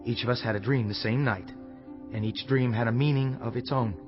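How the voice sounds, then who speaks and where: An actor playing a respectful Egyptian royal cupbearer in a dramatized scripture reading, recounting things formally and deliberately. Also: male voice, mid-range voice, fairly even intonation, dull audio, soft background music, close to an everyday voice. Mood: contrite, recounting.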